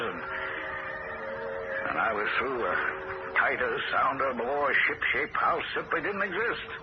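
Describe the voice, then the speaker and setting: A man's voice: medium pitch, lightly acted. An actor playing Tommy, a plain-spoken, skeptical handyman who narrates the story, on a dull, hissy old radio broadcast. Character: Tommy, practical handyman and broker. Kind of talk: 1970s radio drama acting with narration.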